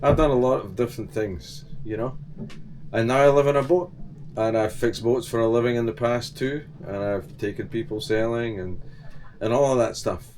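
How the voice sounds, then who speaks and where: A man's voice: medium pitch, normal speed, a little hiss or hum. An older man from Scotland with a Scottish accent, talking plainly and casually to camera about his life aboard his sailboat. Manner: plain-spoken, relaxed, rambling. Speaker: channel host. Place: on board his sailboat, indoors in the cabin.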